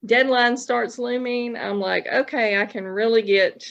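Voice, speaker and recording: A woman's voice: on the high side, fairly even intonation, unhurried, vocal fry, a bit bright, highs cut off. A woman chatting casually as a podcast guest, informal and rambling, often restarting her sentences. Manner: informal, rambling, self-interrupting. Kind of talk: casual chat.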